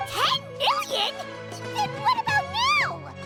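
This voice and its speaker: A woman voicing a small, excitable fairy companion in a video game who talks about herself in the third person. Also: very high voice, takes it slowly, heavily acted. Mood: astonished. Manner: refers to herself in the third person, exclaims often. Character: tiny floating fairy companion, excitable and chatty. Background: soft game music.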